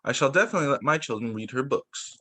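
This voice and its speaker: Man reading aloud with lively, swooping intonation. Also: mid-range voice, fast. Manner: stumbles over words and repeats phrases, with casual asides. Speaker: teacher reading aloud for students. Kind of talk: children's story time read-aloud.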